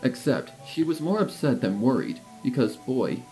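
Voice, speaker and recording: Casting: male narrator, mid-pitched and very lively, playful storybook read over soft music. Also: normal speed, rough recording. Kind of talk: scripted storybook narration of fan fiction.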